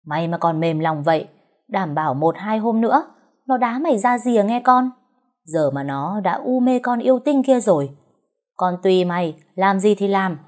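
Woman putting on a shrill scheming old crone. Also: sing-song, a little fast. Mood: coaxing. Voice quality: shrill, high. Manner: bossy, coaxing and threatening.

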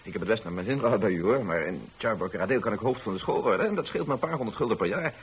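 An actor playing a polite, apologetic young schoolteacher in a radio drama. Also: male voice, fairly low pitch, expressive, very fast, a little hiss or hum. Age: young adult.

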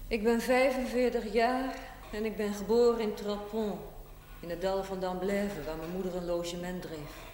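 An actress playing a proud, middle-aged woman with a hard past in a television drama, telling her story bluntly and deliberately. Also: medium pitch, fairly even intonation, a little mellow, lightly acted. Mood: frank, reminiscing. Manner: blunt, matter-of-fact, deliberate. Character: frank, proud 45-year-old former prostitute telling her life. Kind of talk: theatrical monologue in television drama. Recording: echoey, faintly noisy 1970s TV recording.

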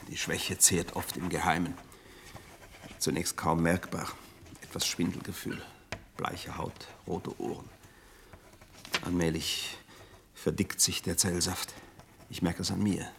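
An actor playing a sickly, scheming retired officer in a radio play, pompous and wheedling in stilted, flowery speech. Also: male voice, low voice, level tone, measured pace, creaky, long pauses, a bit bright, slight background noise, heavily acted. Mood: plaintive, wistful. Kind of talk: German radio play acting.

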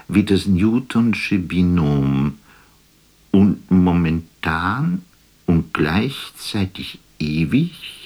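A wistful poet in a literary radio play. His voice is deep and rough-edged, and he speaks slowly and lyrically, weighing his words with a tired, dreamy air. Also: darkish tone, exaggerated voice. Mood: dreamy reflection. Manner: musing, measured, lyrical. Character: wistful, weary male poet imagining a phone call. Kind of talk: literary radio drama monologue.

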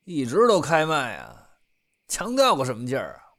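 A man's voice: mid-range voice, wildly swooping pitch, normal speed, a bit bright. A young man playing a chatty idol group member with a flippant, casual way of talking. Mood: cheeky.

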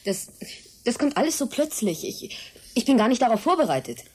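Mellow, husky, questioning heroine. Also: female voice, on the high side, sing-song, brisk. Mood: overwhelmed, surprised. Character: hesitant but stubborn human woman. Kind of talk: German radio drama acting.

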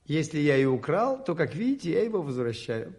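A mild, shrewd priest detective in a radio play. His voice is fairly high, with lively intonation. He speaks politely and calmly, in a measured, enigmatic way. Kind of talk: radio drama acting.

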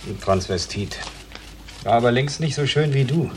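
An actor plays a crude, callous homicide cop in a radio drama. The man leers and taunts in a medium-pitched voice, with clear noise around him. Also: expressive, moderate pace, lightly acted. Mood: callous, taunting. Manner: sleazy, taunting. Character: crude, leering, callous male homicide police lieutenant. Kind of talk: radio play dialogue.

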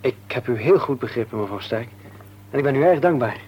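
An actor playing a modest, courteous gentleman in a radio play, speaking politely, formally and with some hesitation. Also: medium pitch, lively intonation, a little fast, highs cut off, natural voice. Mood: taken aback. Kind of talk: Dutch radio play acting. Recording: faintly noisy old radio recording with hum.

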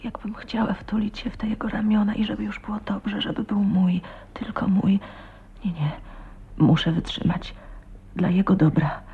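An actress playing an anguished, self-sacrificing young wife in a radio drama, with a breathy, pleading, emotional manner. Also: female voice, mid-range voice, little pitch movement, normal speed. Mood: anguished whisper. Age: young adult. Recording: tinny, band-limited radio with music.

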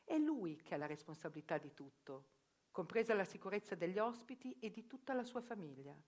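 A proud older sworn virgin who has lived as a man for decades, played by a woman speaks in a lowish, steady voice. The telling is stern and matter-of-fact, like testimony in a theatre monologue for radio. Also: natural voice. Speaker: actress performing a theatrical reportage.

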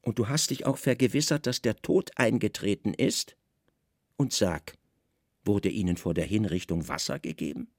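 A man acting weary, imperious Roman governor, gravelly. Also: lowish voice, normal speed, no echo, clean studio sound, voice pushed down. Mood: commanding, probing. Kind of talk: literary radio drama.

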